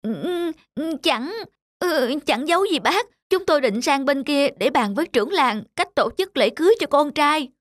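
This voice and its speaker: A woman's voice: high voice, animated, moderate pace, dry recording, clean studio sound. A woman voicing a gullible, boastful father field mouse in a cartoon fairy tale. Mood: hesitant. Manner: hesitant, boastful, easily impressed. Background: loud children's music.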